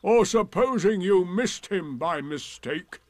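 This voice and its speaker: A man voicing a gloomy, sarcastic donkey in a storybook, with drawn-out, emphatic, indignant delivery. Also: sits high, lively intonation, a little mellow, no room sound, pitched above the performer's own voice. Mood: coldly sarcastic.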